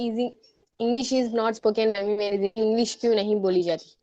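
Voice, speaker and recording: Mellow-voiced woman student reciting. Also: on the high side, fairly even intonation, no echo, dull audio. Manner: slow English, quicker Hindi. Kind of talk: reciting grammar drills.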